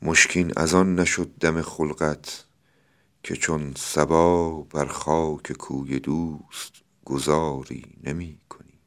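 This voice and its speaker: Really really low guy hosting a poetry podcast, reciting and explaining. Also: little pitch movement.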